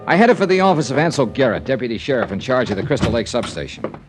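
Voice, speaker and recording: A man's voice: medium pitch, sing-song, fast, loud music behind the voice, close to an everyday voice. An actor playing a hard-boiled male insurance investigator who also narrates, clipped and wry in 1950s radio drama style. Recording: vintage radio broadcast transfer.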